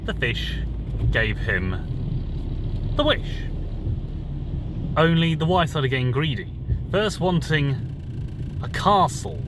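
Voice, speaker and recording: A man's voice: on the high side, very animated, slow, pauses often, background noise. A man musing aloud informally and retelling stories, thinking as he goes and correcting himself. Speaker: solo commentator on Bible and fairy tales.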